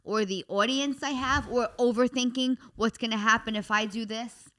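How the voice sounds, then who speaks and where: A woman co-hosting a casual talk show, with a loud voice, outspoken and reflective. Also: fairly high pitch, fairly even intonation, moderate pace. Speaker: podcast co-host. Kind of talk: casual chat.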